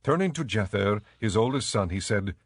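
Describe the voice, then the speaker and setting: A man narrating scripture as a scripted audiobook in a measured, solemn manner. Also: on the low side, animated, breathy edge, no echo, studio quality.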